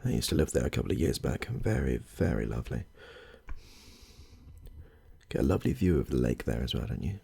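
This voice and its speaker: A British man playing a polite, chatty therapist in a gentle, soft-spoken ASMR roleplay. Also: very deep voice, sing-song, fairly quick, vocal fry, pauses often, slightly rough, pitched below the performer's own voice, full character voice. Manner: gentle, reassuring, murmuring small approvals. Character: polite, chatty, slightly odd male therapist greeting a client.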